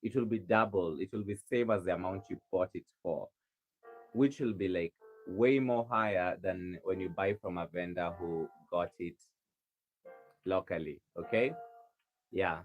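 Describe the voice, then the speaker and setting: A man's voice: sits low, unhurried, soft background music, rough recording. A man from Kenya, a rug maker chatting casually on a livestream and answering viewers' questions with a helpful, rambling manner. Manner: chatty, helpful, lots of restarts.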